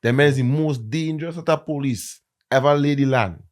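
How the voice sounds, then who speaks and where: A man with a Trinidadian accent, a content creator and comedian, chatting in an interview and telling stories with lively emphasis. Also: mid-range voice, a little fast. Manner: animated, emphatic storyteller. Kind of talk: casual interview chat.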